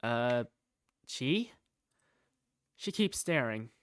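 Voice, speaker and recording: A woman's voice: very deep voice, very animated, drawn-out words, clear, resonant tone, exaggerated voice. A woman playing an easygoing young protagonist, narrating and speaking in a visual novel fandub in a casual, wry, playful way. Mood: laid-back.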